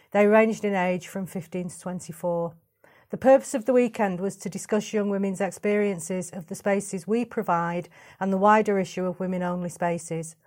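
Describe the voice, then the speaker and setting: A woman giving a measured, formal scripted narration as the podcast narrator. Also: medium pitch, a little mellow.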